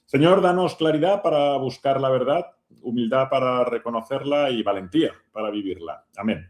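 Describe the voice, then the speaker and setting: A man's voice: mid-range voice, normal speed, darkish tone. Male radio interviewer in an echoey room. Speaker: radio host. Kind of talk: conversational interview on religion. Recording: roomy, echoing sound.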